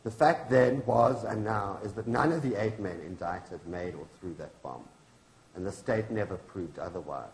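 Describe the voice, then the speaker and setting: In an echoey room, a man gives voice to a grieving widow in a staged political drama. The voice is low, and the delivery is solemn, rhetorical and defiant, pressing each point like an accusation. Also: normal speed, a little mellow, slight background noise, natural voice. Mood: grieving, bitter. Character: grieving, defiant widow of a hanged labor activist. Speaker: professor reading a play. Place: indoor launch event venue.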